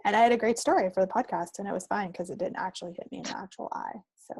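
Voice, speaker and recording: A woman's voice: medium pitch, fast, vocal fry, a little mellow, breathy edge, no room sound. A woman co-hosting a craft podcast, chatting casually and without a script in a friendly way. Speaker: co-host of a knitting and crafts podcast. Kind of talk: casual unscripted podcast chat.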